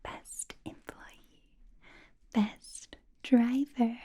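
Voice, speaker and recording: A woman's voice: on the high side, fairly even intonation, drawn-out words. Whispering possessive vampire boss, a woman playing her. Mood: sweetly menacing. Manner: soft whisper, doting yet quietly menacing, frequent soft laughs. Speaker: ASMR roleplay performer. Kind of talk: whispered ASMR roleplay.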